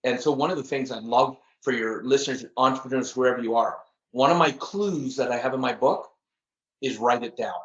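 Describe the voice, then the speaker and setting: A man's voice: medium pitch, a little fast, a bit bright, lo-fi sound. A middle-aged man speaking earnestly as a podcast guest, often repeating words and laughing at himself. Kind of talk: casual interview chat.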